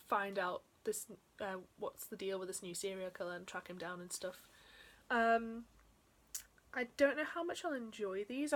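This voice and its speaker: A young woman in her twenties, slightly croaky after being ill, chatting casually about books from a small bedroom, with frequent ums and soft trailing endings. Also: medium pitch. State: slightly croaky from a chest infection. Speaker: book vlogger. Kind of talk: casual book haul chat.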